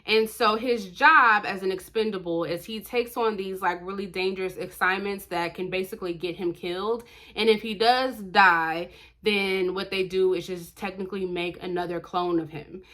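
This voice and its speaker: A woman's voice: mid-range voice, fairly even intonation, moderate pace, a bit bright. A woman talking casually as a book vlogger, emphatic, often answering her own questions in short blunt bursts. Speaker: book reviewer, vlog host. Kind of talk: casual chatty book review vlog.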